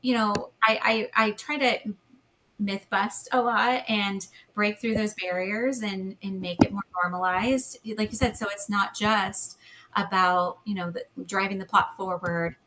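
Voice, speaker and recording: A woman with a calm, gently soothing voice, talking as a hypnotherapy guest. Her pitch sits in an ordinary middle register. She chats casually over a video call. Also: unhurried, slight background noise, low-quality recording. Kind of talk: casual interview chat.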